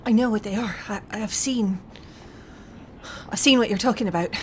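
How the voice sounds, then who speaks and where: A woman voicing an anxious, earnest young elf scout, hesitant and pleading, in a dark fantasy role-playing game. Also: mid-range voice, normal speed, a little hiss or hum, close to an everyday voice. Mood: shaken, urgent.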